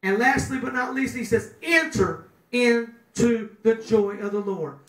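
A man's voice: extremely high pitch, a little slow. Male pastor, middle-aged, fiery sermon style; recorded from far off in an echoey room. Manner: preaching with rising shouts and call-and-response. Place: small chapel room with a pulpit. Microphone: handheld mic, filmed by a far camera.

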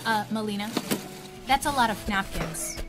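An actress playing a chirpy, tactful cafe barista in an audio drama. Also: female voice, medium pitch, normal speed, loud music behind the voice, lightly acted. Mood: polite, placating.

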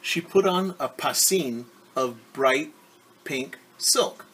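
A man's voice: a little high, slow, fairly bright tone, slightly rough, low-quality recording. A middle-aged man reading a picture book to children as a teacher, stopping to explain things patiently in simple words. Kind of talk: storytime read-aloud with simple explanations for children. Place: living room at home.

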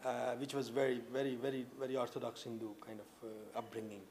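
A man in his late thirties from India, with an Indian accent, answering interview questions earnestly with many halting fillers and restarts. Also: medium pitch, level tone, a little mellow, a little hiss or hum. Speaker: poet guest. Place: indoor room with tapestries and a sofa.

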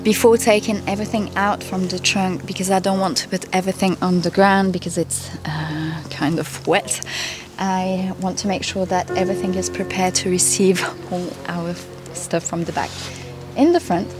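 A woman's voice: fairly low pitch, steady tone, normal speed. Woman, thirties, casual vlog, arm's-length camera, outdoors, music behind. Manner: friendly, chatty, with small laughs and fillers. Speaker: vlogger, mother. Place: outdoors in a winter forest by a car. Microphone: camera held at arm's length.

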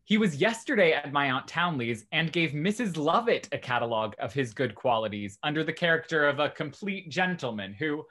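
Male actor as genial young Restoration gallant, higher-pitched, very lively intonation, polite and gossipy. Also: a little fast, hardly any pauses, exaggerated voice. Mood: amused, gossipy. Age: young adult. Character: genial young gentleman in love, courteous gossip. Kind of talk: stage acting in a Restoration comedy over video call. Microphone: video call.

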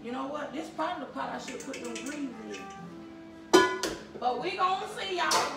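A woman in her thirties talks to her viewers as y'all while she cooks, unhurried and folksy. Her voice sits high with a strong gravelly fry. The kitchen sounds echoey, and music plays behind her. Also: animated. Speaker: cooking vlogger. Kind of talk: casual cooking vlog talk to viewers. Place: home kitchen, indoors. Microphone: camera on the counter, moved around.

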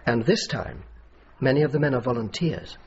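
A determined male army officer in a radio drama. His mid-pitched voice is formal and measured, always persuasive. The recording is dull, with some noise. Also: big pitch swings, vocal fry. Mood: earnest, persuasive. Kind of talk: radio drama dialogue and narration.

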